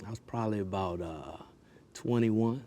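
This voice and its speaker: A man's voice: lowish voice, warm tone, slight background noise. A man, a musician, thoughtfully recalling his first lyrics. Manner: reflective, slow-spoken. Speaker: musician guest. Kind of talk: casual chat.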